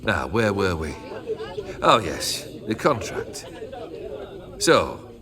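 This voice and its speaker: A man's voice: deep voice, very animated, very slow, full character voice. An actor playing a jaded, cursed male noble who leads a band of bandits, talking in a languid, sardonic drawl in a game cutscene. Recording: rowdy crowd of revelers behind.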